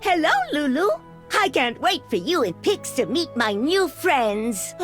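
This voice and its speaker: High, kindly little magical creature. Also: female voice, sing-song, a little slow, soft background music. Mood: welcoming, then fond. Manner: affectionate, cooing, whimsical. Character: kindly, motherly, cheerful female yordle, a small magical creature. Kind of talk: video game champion voice lines.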